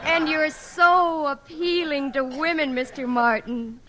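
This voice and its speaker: A gushing, high-voiced secretary. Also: female voice, fairly even intonation, slow pace, heavy background noise. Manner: sweet, gushing. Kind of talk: 1940s radio comedy acting.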